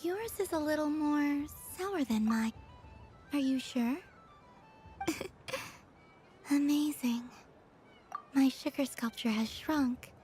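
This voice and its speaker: A woman voicing a gentle, curious, otherworldly young woman in a game, speaking softly in simple, sincere sentences. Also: high voice, takes it slowly, long pauses. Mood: gently pleased. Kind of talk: animated game dialogue. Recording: music playing behind.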